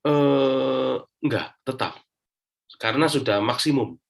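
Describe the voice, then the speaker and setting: A man's voice: medium pitch, slow pace, creaky. A man with an Indonesian accent, an interview guest giving a casual personal account step by step.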